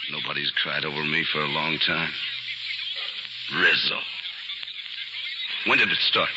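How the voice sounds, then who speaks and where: An actor playing a weary, guarded prisoner in a 1940s radio drama, with a low, terse way of talking. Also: male voice, measured pace. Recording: dull, noisy old radio broadcast.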